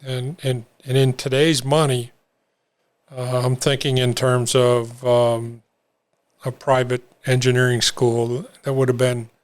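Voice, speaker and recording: An older man telling his own recovery story in an interview, talking haltingly with many fillers and restarts. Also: medium pitch, little pitch movement. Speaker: interview guest. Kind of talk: reflective personal story.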